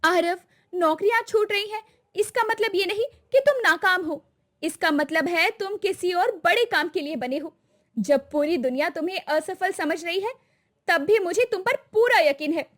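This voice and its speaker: A woman's voice: very high voice, lively intonation, moderate pace, a bit bright. A woman voicing a fierce, loyal young girlfriend in a cartoon story, emphatic and encouraging. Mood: firm encouragement.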